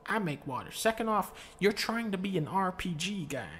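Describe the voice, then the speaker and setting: A man's voice: high-pitched, animated, moderate pace, noisy background. A man playing God as a blunt, sweary figure lecturing someone about his choices.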